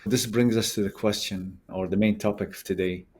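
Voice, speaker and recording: A man's voice: fairly low pitch, steady tone, moderate pace, a little mellow. A man hosting a career podcast, asking a guest questions and backing her up with brief acknowledgements. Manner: encouraging, brief backchannels. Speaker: podcast host. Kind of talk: interview host.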